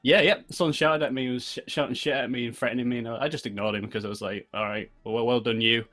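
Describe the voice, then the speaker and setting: A man's voice: medium pitch, fairly even intonation, fast, bright, forceful tone. A British man chatting casually as a podcast host in a rambling, jokey way. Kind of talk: casual chat.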